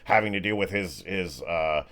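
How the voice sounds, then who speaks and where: A man's voice: deep voice, moderate pace, a bit bright, voice pushed down. Man playing a flustered, rambling shopkeeper. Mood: rambling, gossipy. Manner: hesitant, trailing off. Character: chatty, flustered shopkeeper who rambles about his wares.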